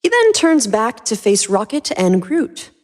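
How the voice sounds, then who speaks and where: A woman's voice: fairly high pitch, very animated, a little slow. A woman narrator reading a scripted story.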